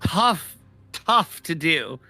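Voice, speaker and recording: Animated, bright-voiced male esports commentator. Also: a little high, very slow, dry recording, faint music behind. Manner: emphatic, repeats phrases for effect. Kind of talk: live game commentary.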